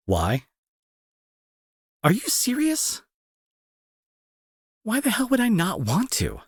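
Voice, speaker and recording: Man acting a husky, emotional young bully. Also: mid-range voice, no room sound, studio quality, exaggerated voice. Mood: stern concern, then agitated, pleading. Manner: intense, halting, pleading. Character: young male school bully, gruff but fearful and protective. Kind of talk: dramatic audio roleplay acting.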